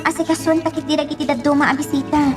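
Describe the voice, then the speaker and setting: A woman's voice: sits high, little pitch movement, fast talker, recorded from a distance, close to an everyday voice. An actress with a Filipino accent playing a teasing, bubbly young woman in a radio drama. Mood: excited, teasing. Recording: loud music bed under the voice.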